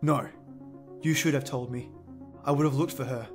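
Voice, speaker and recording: A man's voice: medium pitch, normal speed, close to an everyday voice. Man acting an agitated, emphatic man over music. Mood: angry, bitter. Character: Kevin, agitated bitter adult son of a feared mother. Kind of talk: audio drama acting. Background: background music.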